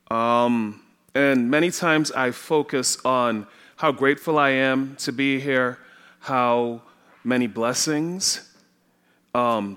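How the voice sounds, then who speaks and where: A man's voice: mid-range voice, a bit bright, smooth. A man from Trinidad with a Trinidadian accent, preaching a sermon in a church and telling personal stories with frequent laughter. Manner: slow, storytelling, often laughing. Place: church sanctuary.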